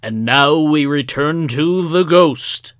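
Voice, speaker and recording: A man's voice: a little high, slow pace, heavy background noise. A man playing the announcer of an old-time radio serial, narrating in a grandiose, suspenseful way. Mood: polished, selling.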